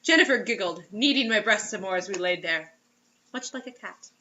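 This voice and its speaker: Bright-voiced woman reading aloud theatrically. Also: medium pitch, animated, a little fast, muffled recording, a little hiss or hum. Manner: theatrical, giggly. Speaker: amateur reader of bad fiction. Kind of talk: mocking dramatic read-aloud with asides.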